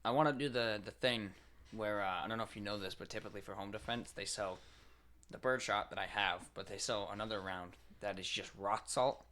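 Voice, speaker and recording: An American man co-hosts a podcast in a slightly low voice with a bright, forceful edge. He talks in a blunt, casual, conversational way. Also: a little fast. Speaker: podcast co-host. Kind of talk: casual chat.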